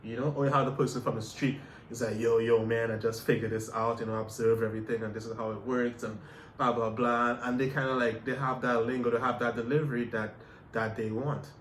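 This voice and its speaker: A man from Canada co-hosts a casual chat and talks in a reflective way, circling back with repeats and fillers. His voice sits at an ordinary pitch. The recording sounds roomy and has a faint hiss. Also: level tone, fairly quick. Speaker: podcast co-host.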